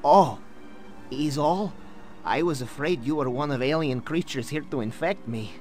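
An actor playing a nervous Soviet scientist in a game, speaking broken English with a Russian accent. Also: male voice, a little high, unhurried, darkish tone, faint music behind, close to an everyday voice. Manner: broken English, drops articles. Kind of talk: video game cutscene acting.

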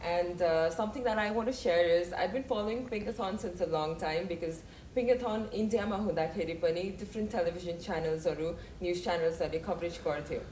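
Fast-talking woman from Nepal, young, chatty, echoey room sound. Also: on the low side, darkish tone, distant mic, a little hiss or hum. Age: young adult. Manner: mixes languages mid-sentence, chatty. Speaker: brand ambassador, guest. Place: TV studio set.

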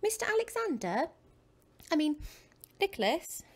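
Lady doing a little elephant kid, high lively storybook voice, kinda whiny. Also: measured pace, full character voice. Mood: eager. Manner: childlike and insistent. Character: young male elephant child, stubborn then friendly.

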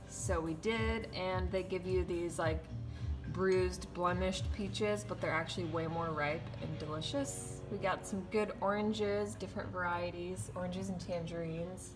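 A woman's voice: fairly low pitch, measured pace, over music. A young woman narrating a casual travel vlog, upbeat and gushing about food and places, filming herself at arm's length. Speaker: vlogger. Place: outdoors and in restaurants, phone at arm's length.

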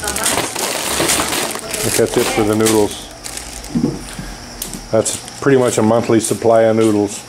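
A man's voice: fairly low pitch, steady tone, slow pace, long pauses. An older man vlogging casually with his family, thinking aloud with restarts, in a bare concrete room on a camera mic. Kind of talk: casual chat. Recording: echoey room with loud background noise.

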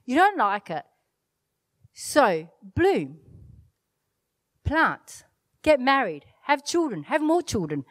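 A middle-aged woman pastor whose first language is Italian, preaching an animated sermon from a church stage into a handheld mic, with self-deprecating asides. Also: sits high, slow pace, long pauses, dry recording. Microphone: handheld microphone.

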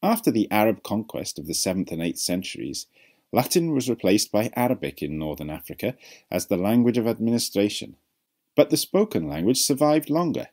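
Low-voiced man narrating, lively intonation. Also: a little fast. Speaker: narrator of an educational language video. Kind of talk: scripted narration.

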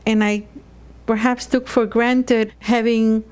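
A woman's voice: on the high side, level tone, slow pace, darkish tone, clean tone. A woman from El Salvador, speaking candidly and reflectively in a documentary interview. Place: indoors in a living room.

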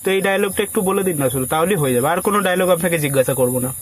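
A man's voice: a little high, rapid-fire. A man talking casually and persuasively on a prank call, coaxing and giving instructions like a casting agent. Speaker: prank caller posing as a film casting agent.

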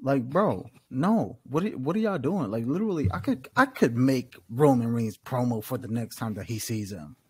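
A husky-voiced American man, recorded dry and close on a desktop mic. He argues his opinions with emphasis and plenty of slang, in the loose style of a podcast chat between friends. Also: mid-range voice, expressive, moderate pace, few pauses, no echo. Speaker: podcast co-host. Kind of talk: casual wrestling review chat. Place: small room at home, on a video call. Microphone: desktop condenser microphone, close.

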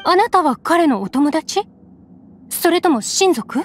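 A soft-spoken mother with a high, gentle voice, polite and anxious. She speaks in a quiet, careful way. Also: expressive, slow, a little hiss or hum, full character voice. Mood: thoughtful. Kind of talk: game voice acting.

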